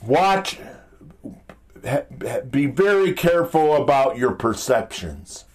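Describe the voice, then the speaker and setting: A man with a deep, powerful voice giving an informal motivational talk in an emphatic, halting, blunt way, often repeating himself. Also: big pitch swings. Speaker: motivational speaker. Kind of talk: informal motivational monologue with rhetorical questions.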